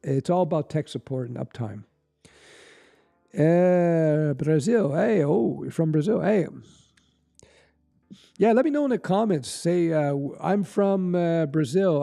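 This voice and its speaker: A man's voice: a little high, gravelly, warm tone. A middle-aged Canadian man hosting a casual livestream, answering chat questions in a rambling, conversational way. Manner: rambling, conversational, fillers and restarts. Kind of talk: casual live-stream chat.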